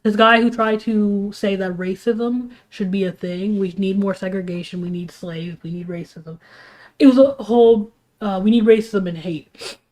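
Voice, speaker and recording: A young woman streams a heated, rambling rant, stumbling through restarts and fillers. She is sick and sniffles as she talks. Her voice sits at an ordinary middle pitch. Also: fairly even intonation, normal speed, a little mellow, low-quality recording. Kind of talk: casual livestream rant.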